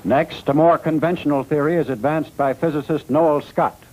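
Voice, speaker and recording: A man's voice: a little high, steady tone, moderate pace, darkish tone, low-quality recording. An American man delivering scripted narration in 1950s newsreel style. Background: music.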